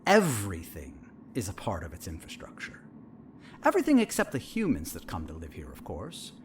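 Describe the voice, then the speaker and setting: A man playing a sinister, smug therapist in a horror audio drama, measured and theatrical, with a falsely soothing, condescending manner. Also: low-pitched, huge pitch swings, a little hiss or hum.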